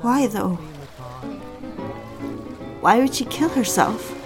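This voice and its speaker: A woman playing a romantic, probing newlywed wife in a period audio drama, earnest and gently pleading as she questions. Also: a little high, slow, music in the background.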